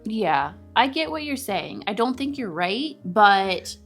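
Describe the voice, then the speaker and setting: A woman's voice: medium pitch, big pitch swings, slow pace, fairly bright tone, soft background music. A woman co-hosting a casual, bantering chat, emphatic and opinionated with a teasing edge. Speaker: co-host of a book discussion video.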